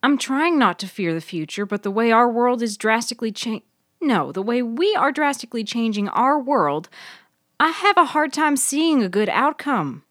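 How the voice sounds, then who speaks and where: A woman's voice: a little high, sing-song, moderate pace, a bit bright, no echo, studio quality, pitched above the performer's own voice. A woman voicing an anxious, earnest woman in story dialogue, insistent and searching for her words. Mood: anxious, pleading. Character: Maddie, anxious, earnest woman who loves the bog. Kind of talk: dramatised audiobook dialogue.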